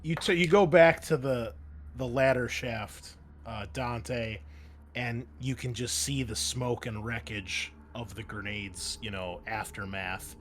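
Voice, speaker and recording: A man running a tabletop game as game master, improvising scene descriptions in a halting, conversational way. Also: medium pitch, fairly even intonation, slight background noise.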